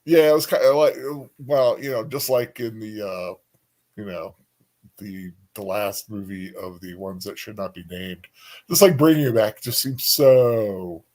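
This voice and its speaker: A man's voice: lowish voice, a little slow, darkish tone. A man co-hosting a casual discussion, speaking hesitantly as he thinks aloud. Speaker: co-host.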